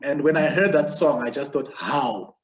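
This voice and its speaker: A man's voice: medium pitch, moderate pace. A man in his thirties from Zimbabwe, hosting a live video chat in a chatty, joking manner. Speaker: host. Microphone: video call. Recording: echoey, dull video call audio.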